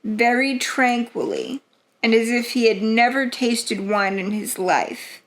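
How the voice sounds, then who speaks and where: A woman giving an atmospheric, theatrical narration of a gothic horror story, in a deliberate storytelling manner. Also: on the high side, low-quality recording.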